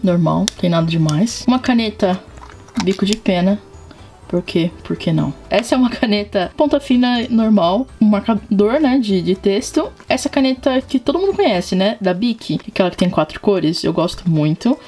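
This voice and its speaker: A woman's voice: mid-range voice, animated, moderate pace, warm tone, soft background music. A young woman with a Brazilian Portuguese accent, hosting a casual vlog and chatting expressively with frequent asides to her viewers. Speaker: channel host.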